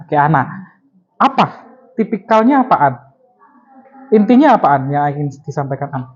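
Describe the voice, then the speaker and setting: A man's voice: a little high, sing-song, moderate pace, highs cut off, a little hiss or hum. A man teaching a class, prompting students with short questions and repeating their answers.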